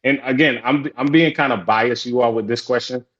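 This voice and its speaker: A man's voice: mid-range voice, normal speed, a bit bright, dull audio. Haitian Creole-speaking man hosting a group call. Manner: upbeat, repeats welcomes, chatty asides. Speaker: support group facilitator.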